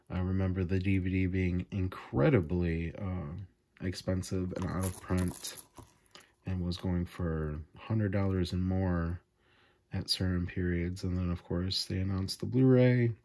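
A man's voice: low-pitched, darkish tone. A man hosting a casual unboxing vlog, enthusiastic and full of small fillers as he goes through his purchases. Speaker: video host. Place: indoors at a table, off camera.

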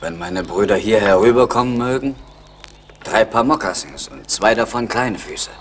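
Casting: male actor for young Mohican tracker in radio drama, solemn, measured, low voice, faint noise behind. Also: expressive, close to an everyday voice. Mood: observant. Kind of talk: German radio play acting.

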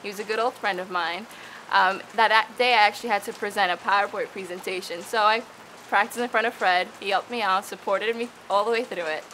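A woman's voice: mid-range voice, rough recording. A young woman from the Dominican Republic, a student researcher, talking eagerly to camera in a casual interview outdoors among tropical plants. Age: young adult. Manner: eager, bubbly, quick restarts. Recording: steady rain noise behind.

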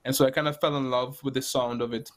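A man's voice: medium pitch, flat delivery, fast talker. A man, telling his story casually on a video call from a room at home. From Trinidad and Tobago. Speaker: guest jazz saxophonist. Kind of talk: casual storytelling in a panel interview. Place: small room at home, indoors. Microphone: laptop or webcam video call.

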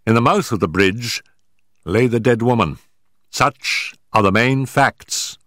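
A man reading an audiobook, voicing a brisk, sharp-minded male detective who sets out facts in a measured, emphatic way. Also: mid-range voice, no room sound, studio quality, voice pushed up. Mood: brisk, reasoning.

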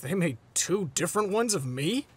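A man voicing a tired, grumpy adult male hero teacher in a comic dub, deadpan and understated. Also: sits high, normal speed, husky. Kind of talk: animated comic dub voice acting.

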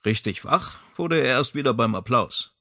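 A man narrates a crime audiobook in a mid-pitched voice. The recording is dry, with next to no room sound, and the delivery is scripted storytelling. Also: lively intonation, moderate pace, a bit bright, no echo.

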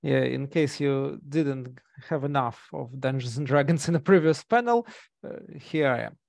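Man, gravelly voice, dry close headset sound, hesitant lecturing. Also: medium pitch, moderate pace, a little mellow, no echo. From Russia. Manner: hesitant, many fillers and restarts. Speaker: conference presenter. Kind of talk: academic presentation over video call. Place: small room indoors. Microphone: gaming headset.

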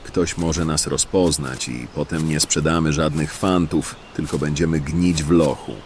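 A man's voice: very deep voice, steady tone, measured pace, slight background noise. A man playing a wary, grumbling outlaw in a fantasy audio drama. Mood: cautious. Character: wary, grumbling male bandit, anxious about capture. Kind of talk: fantasy radio drama acting.

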